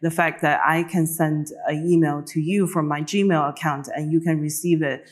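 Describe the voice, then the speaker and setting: A woman's voice: low voice, steady tone, normal speed. A woman guest giving a careful explanation in an interview, with hesitant fillers between phrases. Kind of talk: interview answer.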